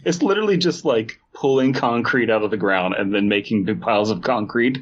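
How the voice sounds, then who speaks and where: A man's voice: on the low side, fairly quick, muffled recording, a little hiss or hum. A man hosting a comedy podcast, riffing casually and reading fan fiction aloud with joking asides. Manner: riffing, mock-advertisement asides. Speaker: podcast host.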